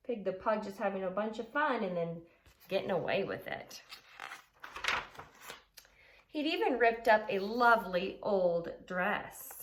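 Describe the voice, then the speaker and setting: A woman elementary teacher explaining simply to children and reading aloud, with emphasis and rhetorical questions. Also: on the high side. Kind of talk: children's lesson and expressive storybook read-aloud.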